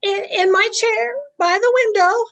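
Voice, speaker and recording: A woman acting a bossy society wife in an old-time radio play. Her voice is high and her delivery slow and theatrical. She scolds and commands with haughty indignation. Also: steady tone, fairly bright tone, lo-fi sound, heavily acted. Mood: startled. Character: domineering, haughty society wife, easily outraged. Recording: amateur video-call recording.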